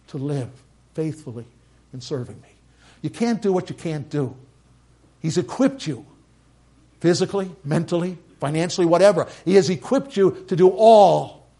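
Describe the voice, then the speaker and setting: Preacher with a slight Norwegian accent. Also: male voice, fairly high pitch, expressive, normal speed, pauses often. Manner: builds to loud emphatic peaks, with quiet drops. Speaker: pastor. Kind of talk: sermon.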